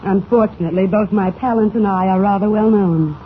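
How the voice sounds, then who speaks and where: Woman doing a scheming young lady crook, haughty and crisp, tinny old radio serial sound. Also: medium pitch, brisk, slight background noise, close to an everyday voice. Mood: cool, commanding. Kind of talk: 1940s radio acting.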